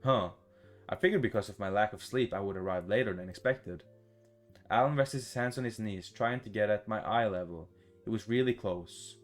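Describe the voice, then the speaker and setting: A man reading a visual novel aloud for a gaming video in a casual, expressive, sweary way, adding his own playful reactions. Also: lowish voice, normal speed, faint music behind.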